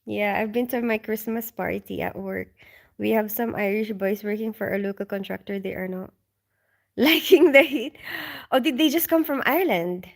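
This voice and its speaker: Woman, from the Philippines, live-stream host, casual chat, reads comments aloud, ums and soft laughs, tired, sleepy, at home at night. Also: medium pitch, moderate pace, creaky.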